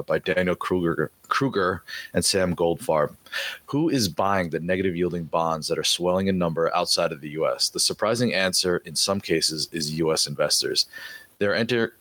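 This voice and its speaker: A man co-hosting a money podcast from his home office, a bit hoarse with a cold, easygoing and reading news aloud steadily. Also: low voice, fairly bright tone, no room sound. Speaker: guest co-host, blogger. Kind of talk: casual podcast chat and reading an article aloud.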